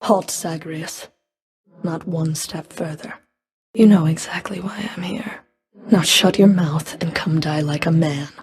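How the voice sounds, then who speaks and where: A woman's voice: low-pitched, fairly even intonation, unhurried, a little mellow. A woman playing a stern, sardonic underworld Fury in a video game, speaking in a cool, dry, clipped way. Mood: cold, threatening. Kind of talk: video game character voice acting.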